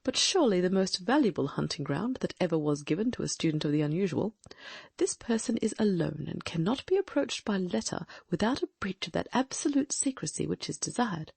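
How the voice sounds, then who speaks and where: A woman performs a confident Victorian detective who thinks aloud in crisp, exact sentences. The voice moves up and down a lot, with a gravelly, slightly husky grain. The recording is very dry and close. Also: lowish voice, animated, fairly quick, a little mellow, no echo, exaggerated voice. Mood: musing. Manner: crisp, reasoning aloud, precise diction. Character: brilliant Victorian gentleman detective, male, sharp and confident. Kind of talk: audiobook dramatic reading.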